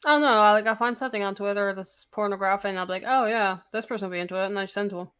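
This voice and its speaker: A woman's voice: mid-range voice, fairly even intonation, very fast, a bit bright, smooth. A woman in her thirties in a casual voice chat, blunt and teasing, repeating her point. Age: 30s.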